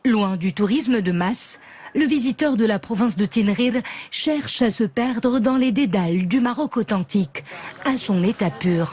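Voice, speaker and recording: A woman's voice: fairly high pitch, a little slow, slightly rough. Woman reading a news report. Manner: even, formal narration.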